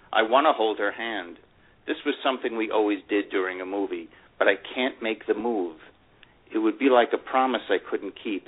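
An actor reading a memoir as a wistful, proud older divorced man, reflective and halting with emphatic openings, in a dramatic first-person style. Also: lowish voice, lightly acted. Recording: dull, lo-fi radio-like sound.

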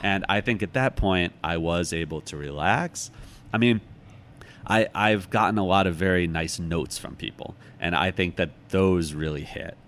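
Low-voiced, thoughtful American man. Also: moderate pace, a bit bright. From United States, Chicago. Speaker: author, interview guest. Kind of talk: reflective conversational interview.

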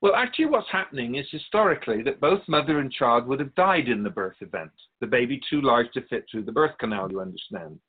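Man voicing a husky, patient otherworldly spirit. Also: medium pitch, animated, phone-quality sound, lightly acted. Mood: explanatory, measured. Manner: measured, explanatory, gently pedantic. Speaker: spirit being interviewed. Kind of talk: scripted radio drama dialogue.

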